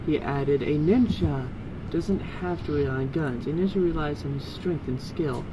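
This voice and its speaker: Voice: mid-range voice, moderate pace, noisy background. An amateur reader reading fan fiction aloud in a casual setting.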